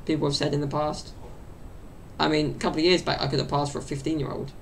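A man's voice: level tone, fast talker, slight background noise. A young man in his twenties hosting a solo podcast, rambling and chatty, speaking into a desk mic set off to the side in his bedroom. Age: 20s. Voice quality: high-pitched, gravelly. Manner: chatty and meandering, full of fillers. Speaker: podcast host. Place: small bedroom at home.

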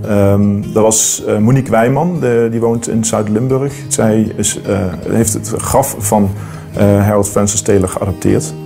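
Gravelly-voiced man, hesitant interview talk. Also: fairly low pitch, steady tone, measured pace, over music. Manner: hesitant, many fillers and restarts. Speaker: metal detectorist interviewee. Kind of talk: documentary interview. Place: studio against plain backdrop.

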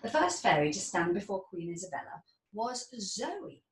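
A woman in her thirties reading a children's storybook aloud in an expressive, deliberate storytelling manner. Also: mid-range voice. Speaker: storybook reader. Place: small indoor children's playroom. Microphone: camera mic about a metre away. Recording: roomy, distant mic, faint background noise.

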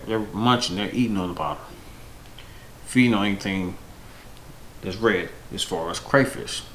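A man's voice: on the low side, fairly bright tone, roomy sound. A man in his thirties giving casual, unscripted fishing-vlog style tips to camera, correcting himself as he goes, indoors at home. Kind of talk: casual instructional product talk to camera. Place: indoors, home room with windows. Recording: noisy home camera audio.

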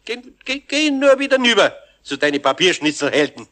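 A man's voice: sits high, big pitch swings, fairly quick, pushed and bright, heavily acted. An actor playing a grumbling but sensible older craftsman with a Bavarian accent in a radio play. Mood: reproving. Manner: grumbling, reproving.

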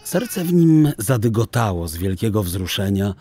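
A man narrating a children's audiobook in a theatrical, deliberate storytelling style. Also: lowish voice, very animated, warm tone, slightly rough, no echo.

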